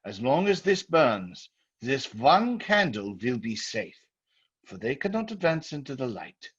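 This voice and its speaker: A grave doctor, played by a man in an old-time radio horror play. He has a husky, mid-pitched voice and an earnest, commanding manner, heard on slightly dull audio. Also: very animated, a little slow. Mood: grave warning. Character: grave, resolute male doctor facing supernatural siege.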